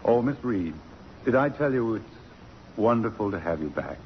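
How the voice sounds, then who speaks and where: Gentle low-voiced college president. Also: male voice, big pitch swings, normal speed. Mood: courteous. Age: middle-aged. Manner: hesitant, courteous, earnest. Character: gentle, reticent middle-aged male college president, widowed old sweetheart. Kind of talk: 1950s radio drama acting. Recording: muffled, noisy old radio recording.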